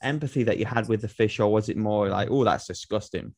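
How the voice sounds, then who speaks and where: A man hosting an interview, asking curious questions in a playful, gently teasing way. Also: lowish voice, a little fast. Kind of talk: casual interview conversation.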